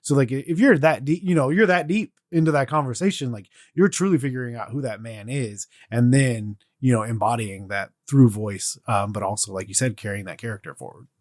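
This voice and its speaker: A man's voice: mid-range voice, a little fast, few pauses, a little mellow, dry recording, studio quality. A man co-hosting a podcast, talking in an earnest, loose and meandering conversational way. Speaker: podcast co-host. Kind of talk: casual podcast discussion.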